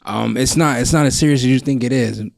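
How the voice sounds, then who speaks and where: Male podcast co-host, relaxed and thoughtful, mid pitch, dry close recording. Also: fast, gravelly, no echo. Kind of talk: casual chat.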